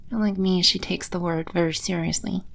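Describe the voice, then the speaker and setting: A woman playing a playful, teasing demon empress in an ASMR audio roleplay, speaking with unhurried, coy confidence. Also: lowish voice, steady tone, creaky. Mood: relaxed, candid. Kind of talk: ASMR audio roleplay, spoken close to the listener.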